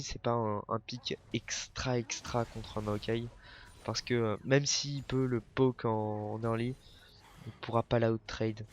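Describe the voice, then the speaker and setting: A man's voice: mid-range voice, slight background noise. A young man with a cold, commentating a video game match alone, hesitant, with many fillers and self-corrections. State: has a cold, coughing. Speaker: esports caster.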